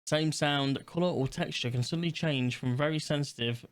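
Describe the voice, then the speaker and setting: A man's voice: fairly high pitch, normal speed. A young man, casually chatting to his stream audience as he reads out questions, blunt and swearing now and then. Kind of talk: casual livestream chat, reading test questions aloud.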